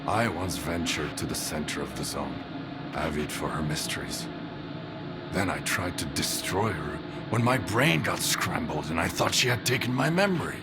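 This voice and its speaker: Brooding veteran stalker, low and measured, music bed under the lines. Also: male voice, expressive, rough recording. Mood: protective. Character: grim veteran male stalker, weary and intense. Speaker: veteran stalker explaining the Zone.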